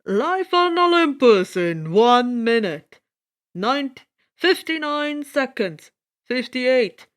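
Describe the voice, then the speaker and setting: A woman voicing a booming loudspeaker announcer in an audiobook, dramatically counting down. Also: very animated, slow pace, full character voice. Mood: dramatic countdown.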